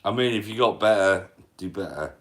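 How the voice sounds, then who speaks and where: A man hosting a casual live stream in a monotone voice, with many trailing fillers. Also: fairly low pitch, normal speed. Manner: rolling a cigarette while talking. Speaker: livestream host.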